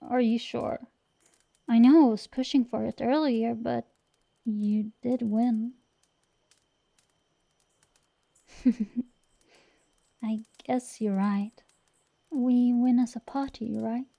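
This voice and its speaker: Girl acting as tomboy dragon girl for asmr, kinda high but creaky, close dry mic, soft n slow, teasing but sweet. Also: fairly even intonation, dry recording, highs cut off. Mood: playful, gentle. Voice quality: soft, breathy whisper at times. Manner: slow, intimate, teasing, affectionate. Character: tomboy dragon girl sorceress, brash tsundere, secretly tender. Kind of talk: whispered ASMR roleplay.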